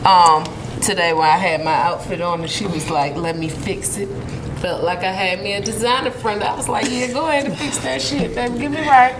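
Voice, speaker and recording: A woman co-hosting a podcast, telling stories in loud, slangy, animated banter. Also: mid-range voice, brisk, noisy background. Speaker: podcast co-host. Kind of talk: casual banter.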